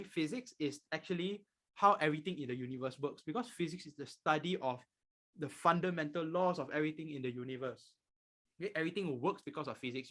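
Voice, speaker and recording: A man's voice: on the high side, pushed and bright. A nineteen-year-old man with a Malaysian accent teaching an informal online class, emphatic and repeating his key points. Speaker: physics tutor.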